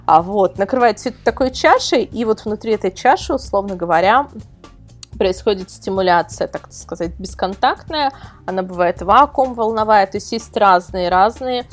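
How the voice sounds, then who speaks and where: A woman's voice: mid-range voice, lively intonation, moderate pace, faint music behind. A woman speaking as a podcast guest, explaining things casually and wordily, with many qualifiers. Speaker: invited guest, editor of an adult toy website.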